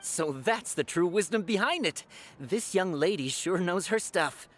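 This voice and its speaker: A man's voice: high-pitched, expressive, a little slow, a bit bright, full character voice. A man voicing an eager, affable, slightly bumbling fortune-teller at a market stall in a video game. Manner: salesman-like, theatrical, hesitant when unsure. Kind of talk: video game character acting.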